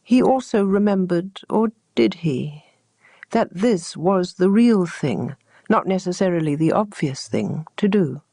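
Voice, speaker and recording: A woman narrating a traditional teaching tale as a storyteller, with measured, deliberate phrasing. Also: lowish voice, lively intonation, dark tone, no echo, clean studio sound.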